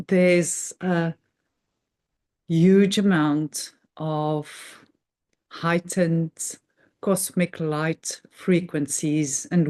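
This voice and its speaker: A woman hosting a guided meditation, speaking earnestly and reverently in short, halting phrases with measured pauses in an unscripted spiritual talk. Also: low-pitched, a little mellow.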